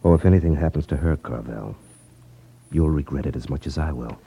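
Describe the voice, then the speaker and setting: An actor playing a confident, wry private detective in 1950s radio acting style. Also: male voice, rumbling low, fairly quick, creaky, loud music behind the voice. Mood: warning. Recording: dull 1950s radio recording.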